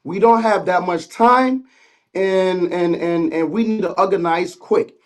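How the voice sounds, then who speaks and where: A man's voice: sits high, unhurried. A man from Liberia who grew up in America, calling in to a live stream and rambling with many fillers. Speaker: caller. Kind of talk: casual call-in comment.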